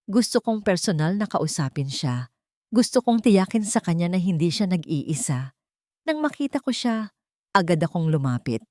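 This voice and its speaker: A woman's voice: fairly low pitch, sing-song, moderate pace, mellow, dry recording, clean studio sound. A woman with a Filipino accent reading a dramatic romance story as narrator.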